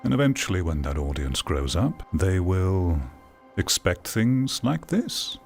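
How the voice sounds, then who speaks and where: Male game critic, low voice, dramatic pauses, reflective video-essay delivery over music. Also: very animated, vocal fry, a little mellow. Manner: measured, theatrical, rhetorical delivery with pauses. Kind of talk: reflective scripted-sounding video essay commentary.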